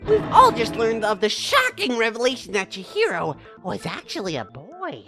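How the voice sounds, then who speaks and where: A woman's voice: fairly high pitch, huge pitch swings, moderate pace, over music. A woman voicing a gleeful, mischievous mascot in an anime game fan dub, theatrical and mock-polite. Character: gleeful mischievous mascot running a deadly trial.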